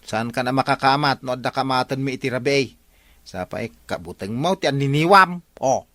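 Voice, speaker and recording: A man's voice: mid-range voice, moderate pace, sharp tone, no room sound, close to an everyday voice. A man playing a boastful, teasing younger village watchman in an Ilocano radio comedy drama. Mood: mocking.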